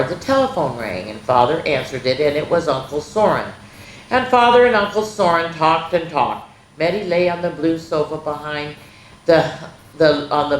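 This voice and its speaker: An older woman hosts a children's storytime, reading aloud and chatting in an expressive, folksy way straight to young listeners. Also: low-pitched. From rural United States, farm. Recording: echoey room with background noise.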